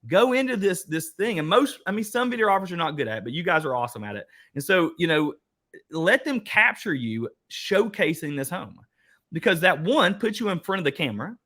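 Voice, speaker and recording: A man with an Eastern Kentucky accent hosts a casual workshop talk and speaks to the crowd as "guys." His voice sits a little high and sounds bright and forceful. He talks in a folksy, rambling way. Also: sing-song, fairly quick. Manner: chatty, folksy, restarts and speaks to the audience as "guys". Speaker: host.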